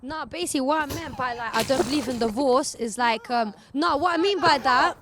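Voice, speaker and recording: A woman's voice: high-pitched, a little fast, a bit bright. A woman in her early twenties giving her views in earnest on a casual podcast, with lots of "like". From Albanian family. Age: 20s. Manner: earnest, filler-heavy, keeps saying "like". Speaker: guest.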